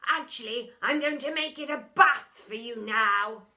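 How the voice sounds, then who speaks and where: A woman's voice: fairly high pitch, unhurried, pushed and bright, full character voice. A woman voicing a villainous, short-tempered male teacher in a storybook, barking his lines theatrically. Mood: exasperated.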